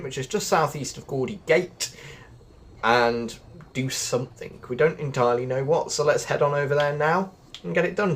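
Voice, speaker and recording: A man's voice: medium pitch, slight background noise. A man hosting a casual gameplay walkthrough, thinking aloud and talking straight to his viewers. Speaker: gaming video host.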